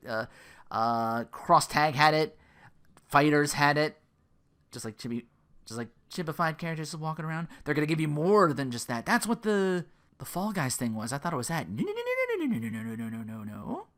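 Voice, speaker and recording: A podcast host giving casual solo commentary on video games, rambling and profane, often acting out reactions. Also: medium pitch. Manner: rambling, animated asides, profane, acts out reactions.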